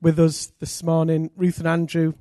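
A middle-aged male pastor speaks with enthusiasm, introducing a speaker and praying over her. His voice sits a little high for a man. The sound is close and dry. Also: monotone, normal speed, no echo. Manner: warm enthusiastic introducer, cheering. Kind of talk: church introduction and prayer. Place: church.

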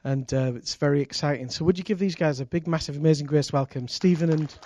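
Enthusiastic church leader introducing guests. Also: male voice, on the high side, fast talker, mellow, slight background noise. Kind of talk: church announcement.

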